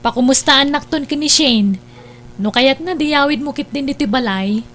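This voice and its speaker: A woman acts as a caring but nosy older sister in an Ilocano radio drama. Her voice is high, with an Ilocano accent and a frank, questioning way of talking. A hum runs under the recording. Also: normal speed, a bit bright. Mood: frank, teasing. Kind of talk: Ilocano radio-style family drama acting. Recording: noticeable hum behind voice.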